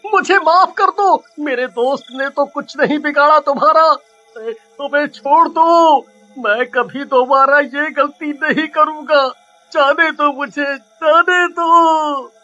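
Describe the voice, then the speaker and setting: A scheming cartoon treasure hunter, pitched very high and sharp. He is greedy and cowardly, and everything comes out exaggerated and theatrical. The sound is slightly dull. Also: slow, faint music behind, exaggerated voice. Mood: pleading. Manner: exaggerated, theatrical, stammering when scared. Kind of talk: Hindi cartoon dialogue.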